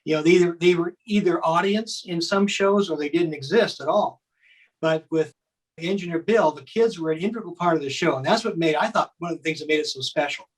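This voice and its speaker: A man's voice: on the high side, a little fast, low-quality recording. A man speaking conversationally as an interview guest, looking back on something he enjoyed as a fan. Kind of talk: conversational reminiscing.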